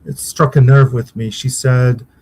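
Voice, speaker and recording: Hesitant man, pausing for words. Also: medium pitch, steady tone, a little mellow. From Israel. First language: Hebrew. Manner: halting, searches for words, many pauses. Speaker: interview guest, uncle of a victim. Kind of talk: conversational interview answers.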